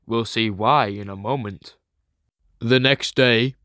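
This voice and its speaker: A man's voice: medium pitch, slow, sharp tone, dry recording, studio quality. A man reading scripted, dramatic comic book narration as the storyteller. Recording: music clearly behind the voice.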